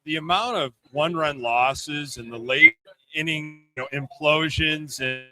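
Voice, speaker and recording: A man's voice: a little high, a little slow, sharp tone, poor audio. A man giving casual, emphatic sports commentary as a podcast guest, repeating himself to stress a point.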